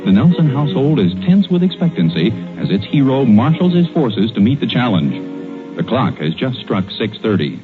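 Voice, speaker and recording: A man's voice: medium pitch, big pitch swings, normal speed, phone-quality sound, music in the background. An American man announcing from a script in a grand, dramatic 1940s radio style. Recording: thin vintage radio broadcast sound.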